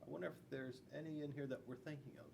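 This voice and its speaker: A man's voice: mid-range voice, fairly even intonation, racing, creaky. A man in his forties reading a storybook to young children, with playful, chatty asides. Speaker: school resource officer reading to children. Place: school classroom. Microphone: camera a few feet away. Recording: distant mic, faint room noise.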